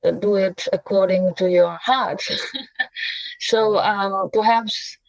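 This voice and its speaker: Slow, thoughtful Russian-born woman translator. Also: mid-range voice, steady tone, gravelly, no echo, rough recording. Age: around 40. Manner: thoughtful, hesitant, many pauses and fillers, soft laughs. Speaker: poet and translator, interview guest. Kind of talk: reflective interview answers.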